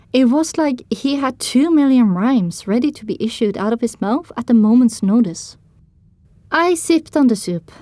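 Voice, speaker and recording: A woman's voice: on the high side, warm tone, no echo. A woman reading first-person dramatic story narration for an audio drama.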